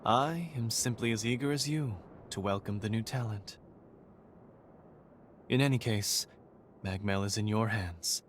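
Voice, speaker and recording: An actor playing a courteous, refined male leader in a video game, with formal, measured, polite diction. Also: lowish voice, slight background noise, close to an everyday voice. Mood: gracious, earnest. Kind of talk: video game cutscene acting.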